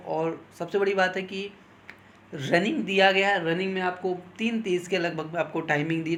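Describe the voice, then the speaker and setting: Male coaching instructor, fairly high register, informal explanatory delivery with regular check-ins to listeners. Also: fairly bright tone, a little hiss or hum. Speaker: exam coaching teacher advising candidates. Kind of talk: informal explanatory talk on exam cut-offs.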